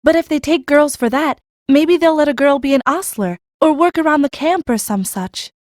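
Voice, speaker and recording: Actress for young orphaned farm girl, audiobook drama. High voice, plain-spoken, earnest, hungry for work. Dry studio sound. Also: normal speed, a little mellow, no echo, close to an everyday voice. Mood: hopeful, eager. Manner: rustic, frank, earnest. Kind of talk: full-cast audiobook acting.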